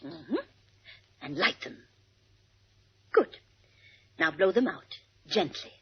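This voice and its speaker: A coy older society hostess in a vintage radio play, affected and sly. Her pitch swoops high and low as she lingers over endearments at a very unhurried pace, on a dull old recording. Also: big pitch swings, vocal fry, husky, exaggerated voice. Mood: coolly instructing. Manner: affected, drawn-out, cooing endearments. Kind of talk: 1940s radio acting.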